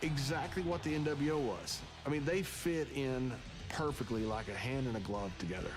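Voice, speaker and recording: A man's voice: mid-range voice, moderate pace, over music. A man speaking casually and emphatically in an interview clip. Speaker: interviewee in tribute video. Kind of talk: interview soundbite.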